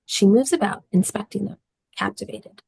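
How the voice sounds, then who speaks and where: A woman's voice: medium pitch, animated, normal speed, creaky, a little mellow, slightly rough, low-quality recording. A woman reading scene descriptions and stage directions as the narrator of a script.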